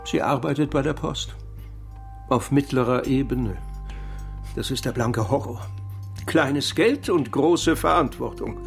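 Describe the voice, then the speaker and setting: A man's voice: medium pitch, measured pace, creaky, pauses often. Sacked editor in his fifties, aggrieved, wry, formal diction, radio crime drama, music underneath. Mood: bitter, indignant. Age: 50s. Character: bitter, lonely laid-off former editor-in-chief, man of fifty-four.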